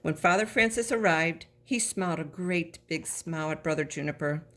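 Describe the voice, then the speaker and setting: A woman reading a children's story aloud in an expressive, animated way, as a storybook reader. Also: low-pitched, normal speed, slight background noise.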